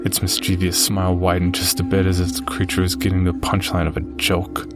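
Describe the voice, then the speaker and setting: A man playing a narrator who recounts a frightening encounter with a monster in measured, grave, scripted first-person storytelling. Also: deep voice, completely flat delivery, lightly acted. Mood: grave, uneasy. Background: music.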